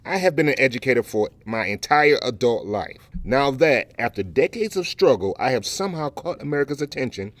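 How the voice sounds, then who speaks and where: An actor playing a proud, defensive veteran educator in a formal audio play debate, emphatic and rhetorical. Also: male voice, mid-range voice, lively intonation, brisk, few pauses, no echo, lightly acted. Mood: defensive, measured.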